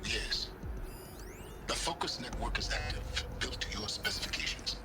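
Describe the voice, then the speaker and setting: An actor playing a shrewd, coolly ambitious male scholar in a video game, speaking in a measured, calculating, sardonic way. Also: expressive, natural voice. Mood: dry, sardonic. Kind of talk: scripted video game acting. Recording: loud music and rumble behind.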